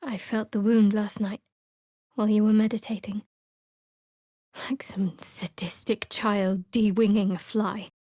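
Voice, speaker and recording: A woman voicing a guarded, dry-witted young female cleric of a dark goddess in a fantasy video game, with a sardonic way of talking. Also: mid-range voice, little pitch movement, unhurried, long pauses, dry recording, close to an everyday voice. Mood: hushed.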